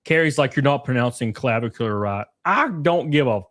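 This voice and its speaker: A man's voice: mid-range voice, lively intonation, fairly quick, a bit bright, no room sound, clean studio sound. A man hosting a stream, giving blunt, rambling and profane reaction commentary. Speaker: streamer host.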